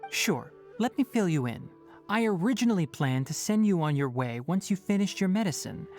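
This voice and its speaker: A woman voicing a composed, frank young male forest ranger master in a video game, measured, articulate and instructive. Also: lowish voice, sing-song, darkish tone, soft background music. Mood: calm, cautioning.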